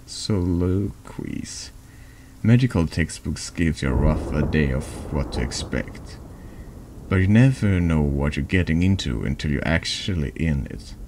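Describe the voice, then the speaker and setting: Man, extremely low voice with heavy fry, halting horror ASMR narration of a text game, some background noise. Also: darkish tone. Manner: halting, stumbles over words. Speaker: streamer reading a text adventure game aloud. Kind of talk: slow ASMR horror story reading.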